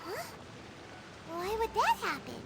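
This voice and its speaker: A woman's voice: very high-pitched, huge pitch swings, takes it slowly, gravelly, noisy background, heavily acted. A woman voicing a tiny, eager, childlike fairy companion in a video game, full of exclamations. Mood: curious, puzzled. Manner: exclamatory, eager, speaks of self in third person.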